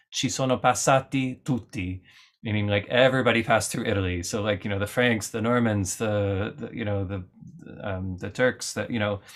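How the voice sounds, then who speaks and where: A man talking as a guest musician in an interview, thoughtful and explaining at length with frequent fillers and restarts. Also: fairly low pitch. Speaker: lutenist guest. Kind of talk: conversational interview answers.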